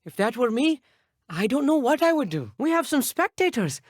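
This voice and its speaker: An actor playing an earnest, bookish teenage schoolboy wizard in a video game, polite and a little nervous, with precise, slightly formal speech. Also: male voice, very high voice, sing-song, normal speed, no echo, clean studio sound, exaggerated voice. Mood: earnest, friendly. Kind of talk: scripted video game dialogue.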